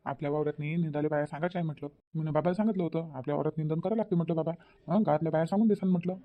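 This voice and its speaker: A woman's voice: sits low, fairly even intonation, rapid-fire, close to an everyday voice. A woman voicing a mild, agreeable village man in a Marathi family cartoon, with a Varhadi accent from Vidarbha. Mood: complaining. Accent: Varhadi Marathi of Vidarbha, India. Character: mild, agreeable village men, father and grown sons. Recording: slightly dull, lo-fi sound.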